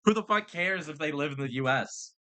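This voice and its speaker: Laughing Southern guy, bright voice. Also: on the high side, brisk. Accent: American Southern. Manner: animated, swears often, laughs a lot, acts out quotes. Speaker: podcast co-host; fast-food worker. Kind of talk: casual chat, storytelling.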